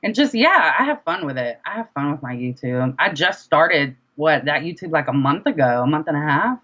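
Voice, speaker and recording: Bright-voiced, chatty musician being interviewed. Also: medium pitch, very animated, moderate pace, muffled recording. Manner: chatty, informal, often repeats phrases for emphasis. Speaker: interview guest, independent rapper. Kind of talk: casual conversational interview.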